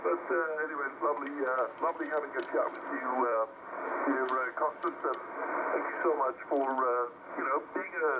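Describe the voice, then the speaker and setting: A man chatting as an amateur radio operator over a radio link, politely and hesitantly, rambling along with pauses. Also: medium pitch, steady tone. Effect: transmitted over amateur radio. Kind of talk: casual two-way ham radio chat. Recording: very noisy shortwave-style radio reception, loud static. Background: loud radio hiss and static.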